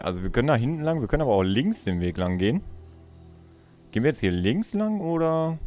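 A man's voice: lowish voice, darkish tone. A young man giving casual let's play commentary, chatting and thinking aloud as he goes. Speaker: let's player.

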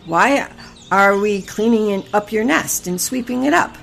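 A woman's voice: medium pitch, measured pace, gravelly, fairly bright tone, faint music behind. A woman doing a curious young barn animal. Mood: puzzled.

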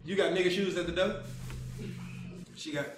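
A young man guest on a live stream, ribbing the others with quick jokes in a casual living-room chat. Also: high-pitched, steady tone, roomy sound, slight background noise, poor audio.

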